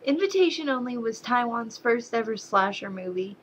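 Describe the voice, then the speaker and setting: A woman's voice: on the high side, normal speed, a little hiss or hum. A young woman with a slight Southern accent reviewing a horror movie in a casual, sarcastic way, ranting with exasperation at the characters. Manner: sarcastic, exasperated, animated rants.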